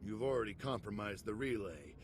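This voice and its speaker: A man playing a gruff, world-weary veteran commander in a game, gravelly, curt and sardonic. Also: fairly low pitch, animated, fairly quick, natural voice. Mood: grim. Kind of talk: video game cutscene acting. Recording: music behind the voice.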